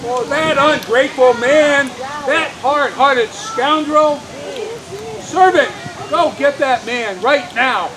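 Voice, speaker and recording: A performer voicing a stern, indignant master in a children's Bible puppet show, declaiming deliberately and emphatically, outdoors. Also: high-pitched. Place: outdoors at an open-air show. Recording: outdoor recording with crowd and traffic.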